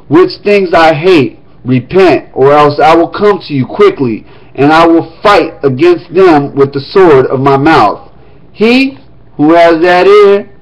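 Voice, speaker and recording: A man reading scripture aloud in a steady voice, now and then breaking off into casual asides to his listeners. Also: a little high. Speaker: scripture reader. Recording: dull lo-fi recording.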